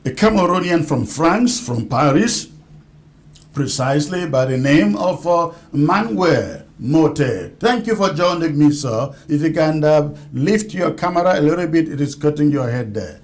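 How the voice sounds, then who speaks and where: A man hosts a live news discussion show. His voice sits a little high and swings widely in pitch. He speaks with formal, deliberate courtesy. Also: lively intonation. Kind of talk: live broadcast hosting.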